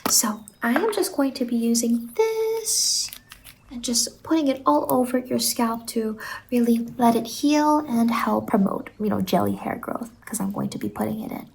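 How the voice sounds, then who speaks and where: A woman playing a whimsical, reassuring salon stylist in an ASMR roleplay, speaking softly and gently on a close microphone and often slipping into whispers. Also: on the high side, animated, vocal fry. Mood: gentle, reassuring. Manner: gentle, reassuring, drawn-out words. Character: whimsical, reassuring female fever-dream hair salon stylist.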